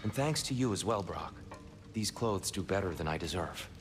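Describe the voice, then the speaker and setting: A scheming older god in disguise, with a slightly low voice and a measured, courtly, silken delivery. Game music swells beneath him. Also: male voice, expressive, long reverb tail. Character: genial scheming old god disguised as a wise warrior.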